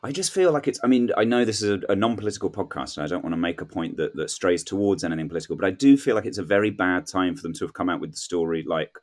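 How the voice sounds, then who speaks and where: A man's voice: low-pitched, rapid-fire, hardly any pauses. A man speaking as a comedy panel guest, casual and questioning, hesitantly working through his thoughts and acting out imagined remarks. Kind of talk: casual comic chat.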